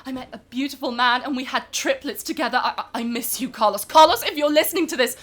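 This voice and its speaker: A woman playing a high-voiced, over-polished film star. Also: fast, fairly bright tone. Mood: exasperated, frantic. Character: pampered, overworked film star who cracks under press tour. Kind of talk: improvised-sounding comedy podcast interview acting.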